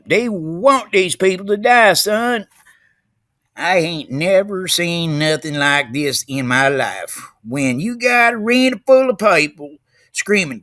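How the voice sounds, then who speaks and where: Man, high-pitched and dry, folksy emphatic comic rant. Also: sharp tone, dry recording. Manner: folksy, emphatic, keeps calling the listener son. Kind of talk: comedic monologue.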